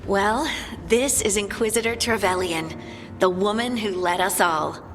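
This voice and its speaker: A woman's voice: mid-range voice, unhurried, a bit bright, big-room sound, natural voice. An actress playing an earnest, loyal young woman scout in a video game, speaking informally. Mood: friendly. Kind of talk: video game cutscene acting.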